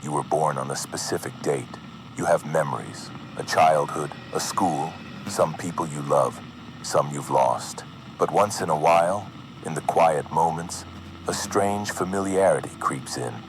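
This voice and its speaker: A man narrating an analog horror video as a cryptic, foreboding figure, slowly and deliberately in short, measured phrases. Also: very deep voice, a little hiss or hum, low-quality recording. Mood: eerie, musing. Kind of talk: slow scripted horror narration addressed to the listener. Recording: music under the voice.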